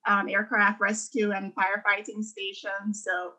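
A woman's voice: mid-range voice, completely flat delivery, a little slow, bright, forceful tone, rough recording. A woman in her thirties from Jamaica, a church podcast guest chatting casually about her life, with frequent ums and easy laughs. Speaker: interview guest, architect. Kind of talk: casual interview conversation.

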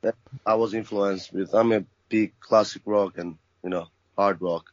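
A man's voice: fairly low pitch, steady tone, normal speed, no room sound. A man from Albania, a drummer and band guest, chatting casually in an interview with some hesitant restarts. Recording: dry muffled recording.

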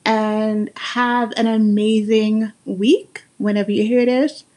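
A woman's voice: mid-range voice, steady tone. Earnest, emphatic Guyanese woman podcaster. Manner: earnest and emphatic, with slow deliberate stresses and warm laughs. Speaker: podcast host. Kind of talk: casual solo podcast monologue.

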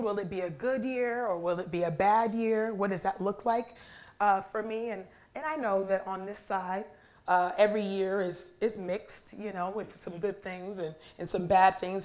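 Raspy young woman preaching in church. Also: medium pitch. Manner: chatty and warm with the congregation, asking for responses. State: hoarse from a weekend of talking. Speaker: guest preacher. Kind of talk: sermon with prayer. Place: church sanctuary.

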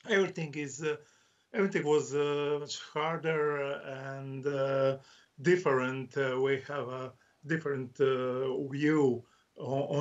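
A man from Croatia speaks English slowly and haltingly, his Croatian accent clear and his sentences full of pauses, fillers and restarts. His voice sits a little high for a man. The sound is dull and lo-fi. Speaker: author. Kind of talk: interview guest.

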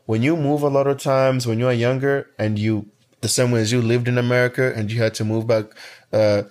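A man's voice: medium pitch, fairly even intonation, a little fast, a little mellow. A man in his twenties from Kenya, telling a personal story in casual conversation with many restarts. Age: 20s. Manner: storytelling, frequent restarts and fillers.

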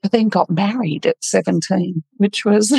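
A middle-aged woman from the Netherlands, a podcast guest, telling her life story in a frank, relaxed way and laughing at it. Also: mid-range voice, measured pace, vocal fry, breathy edge, no echo. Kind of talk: casual interview storytelling.

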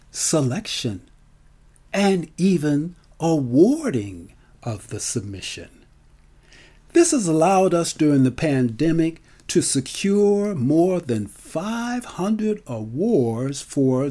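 A man delivers a conversational monologue with theatrical emphasis. His mellow voice swings widely in pitch as he takes his time over each point, leaning on key words and posing rhetorical questions. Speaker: film festival marketer and storyteller. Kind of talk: conversational monologue, personal storytelling.

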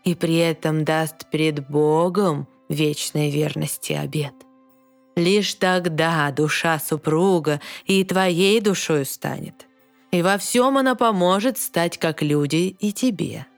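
Old mermaid grandmother, lowish unhurried voice, calm, wise, matter-of-fact, verse fairy-tale audio play, faint music. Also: dry recording. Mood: firm advising. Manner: measured, explanatory.

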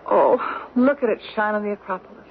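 An actress playing a playful, romantic young newlywed wife in an old radio drama. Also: female voice, mid-range voice, fast talker, lightly acted. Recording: muffled old radio broadcast with hiss.

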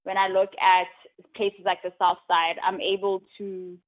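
A woman from Africa, speaking as an interview guest in a thoughtful, casual way, often pausing on "um" and checking in with "right?". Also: medium pitch, level tone. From South Africa. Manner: thoughtful, many "um"s and "right?" tags. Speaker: guest, tech community co-founder. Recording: tinny phone-like line.

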